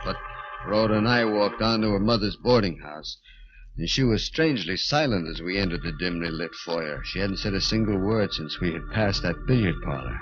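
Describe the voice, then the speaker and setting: A man's voice: low voice, lively intonation, normal speed, lo-fi sound, faint music behind. An actor playing a tormented, violent giant of a man in a vintage radio drama, intense and melodramatic. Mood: brooding. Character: tormented growing giant man, violent, desperate, former freak.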